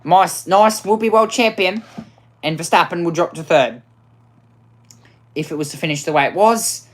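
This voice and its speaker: A woman commentating a racing game live, excitable, often repeating and restarting phrases, her voice worn out. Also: lowish voice, normal speed.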